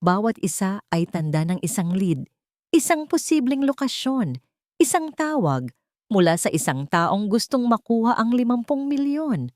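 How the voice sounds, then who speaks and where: A woman's voice: a little high, sing-song, measured pace, darkish tone, no echo, clean studio sound. A woman narrating a scripted drama story in a suspenseful, emotive manner.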